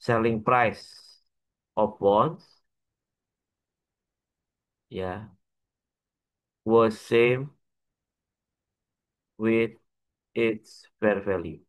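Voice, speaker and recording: A man with an Indonesian accent lecturing as a teacher, working through an example step by step in a halting, repetitive way with frequent checking tags. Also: lowish voice. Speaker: accounting lecturer.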